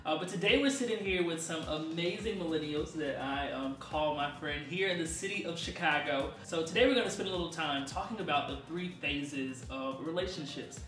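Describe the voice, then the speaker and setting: A man in his thirties hosting a casual group talk in a living room on a lapel mic, upbeat and quick to laugh. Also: fairly high pitch, a bit bright, distant mic. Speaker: host. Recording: roomy, from off, music behind.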